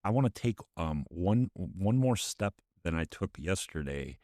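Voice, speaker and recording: Deep-voiced halting male host. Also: expressive, darkish tone, no room sound, clean studio sound. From Michigan. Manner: halting and encouraging, with many restarts and repeated words. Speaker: podcast host. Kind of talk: casual interview.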